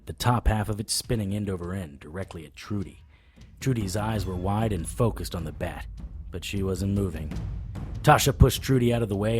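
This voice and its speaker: Man as a low-voiced, tough investigator hero. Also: lightly acted. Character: tough, wry male supernatural investigator hero. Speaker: narrator and lead character. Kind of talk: noir audio drama narration and dialogue.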